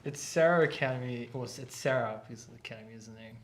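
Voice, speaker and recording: Brief: male art instructor, mid-pitched, loose and talkative on a casual stream. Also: quick pace. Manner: chatty, explains at length with restarts. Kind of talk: casual live stream chat. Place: art academy studio.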